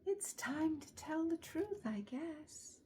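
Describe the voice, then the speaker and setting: Woman voicing little llama boy, storybook character, upset, pleading, confessing, slow, lively pitch. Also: slight background noise. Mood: tearful confession. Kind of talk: children's storybook read-aloud.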